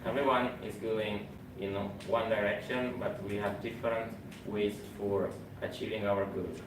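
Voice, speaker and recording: Italian-accented male teacher, slightly low, halting and earnest; distant mic, heavy room echo. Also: steady tone. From Italy. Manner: earnest, halting, with small laughs. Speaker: teacher in an exchange program. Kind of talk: group report-out to colleagues. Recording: echoey room, distant mic, background noise.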